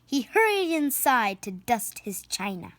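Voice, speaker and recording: A woman's voice: fairly high pitch, very animated, a little slow, no room sound. A woman reading a children's picture book aloud expressively, theatrically stressing the sound words. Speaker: storybook reader.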